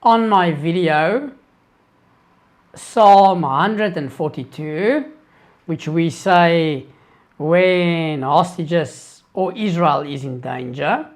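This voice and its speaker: Slow, deliberate South African man. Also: a little high. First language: Afrikaans. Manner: deliberate, earnest, halting with restarts. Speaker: religious YouTuber answering viewer questions. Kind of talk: live-stream Q&A.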